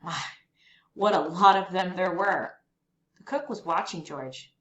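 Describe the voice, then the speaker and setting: A woman reading a children's picture book aloud as a storyteller, with expressive, deliberate phrasing for young listeners. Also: lowish voice, dull audio. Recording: roomy echo, faint background noise.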